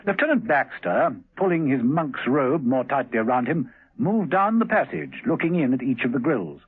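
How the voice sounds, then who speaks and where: A man's voice: mid-range voice, expressive, moderate pace, breathy edge. A man narrating a spy thriller dramatically in scripted radio drama, on a thin, phone-like old recording. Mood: dramatic, suspenseful.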